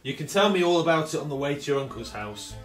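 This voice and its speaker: A man playing a sceptical, polite police inspector in a steampunk radio play, with a measured manner. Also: fairly high pitch, animated, music in the background, close to an everyday voice.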